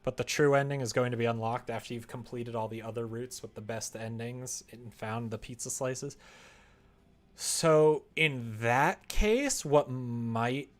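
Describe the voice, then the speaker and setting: A man chatting casually on a livestream, thinking out loud in an informal, rambling way. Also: medium pitch, measured pace. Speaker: streamer writing a visual novel.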